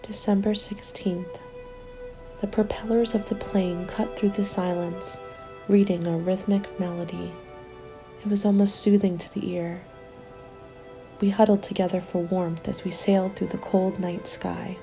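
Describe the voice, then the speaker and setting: Woman acting a hushed, weary apocalypse survivor. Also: medium pitch, flat delivery, unhurried, creaky, faint music behind. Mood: somber, reflective. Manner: soft, hushed and intimate, often slipping into whispers. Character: weary, hopeful female zombie-apocalypse survivor writing a winter journal. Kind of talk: ASMR roleplay, scripted dramatic narration.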